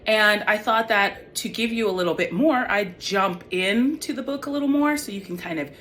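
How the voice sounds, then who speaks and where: A woman's voice: medium pitch, normal speed, a bit bright. A woman author reading young adult fiction aloud in an audiobook style. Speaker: author reading own book. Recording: clearly noisy background.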